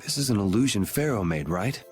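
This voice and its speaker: A man's voice: fairly low pitch, lively intonation, fairly quick, soft background music, lightly acted. An actor playing a cool young swordsman in an anime-style game, with a dry, casual, determined manner.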